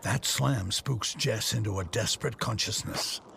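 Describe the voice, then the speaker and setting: A man's voice: on the low side, measured pace, vocal fry, heavy background noise. A man giving dramatic scripted narration for an audio drama.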